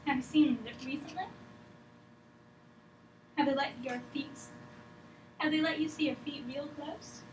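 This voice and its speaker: A woman's voice: high-pitched, steady tone, unhurried, pauses often. A woman acting a direct, probing visitor questioning a sick patient in a drama table read. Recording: echoey room, distant mic, faint noise.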